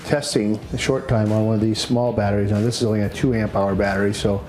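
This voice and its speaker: A man giving an unscripted product demonstration in a halting, matter-of-fact way, as a presenter explaining equipment. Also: lowish voice, flat delivery, a little mellow, soft background music. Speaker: presenter demonstrating radio gear. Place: indoors in a house.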